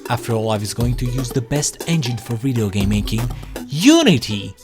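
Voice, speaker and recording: A man narrates a comedic video essay with a lively, wide-swinging delivery. He is theatrical and sarcastic, often poking fun at himself, with music playing behind his voice. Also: mid-range voice, moderate pace. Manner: sarcastic, self-deprecating, theatrical. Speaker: YouTube creator and host.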